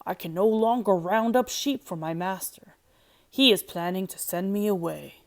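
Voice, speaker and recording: A woman's voice: mid-range voice, normal speed, natural voice. A woman voicing a very old, sad and weary dog in a children's storybook.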